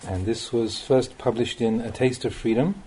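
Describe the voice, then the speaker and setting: A man reading a Buddhist teaching aloud as an audiobook, as a monk would, with measured and expressive phrasing. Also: mid-range voice, slightly rough. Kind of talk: read-aloud Dhamma talk, audiobook narration.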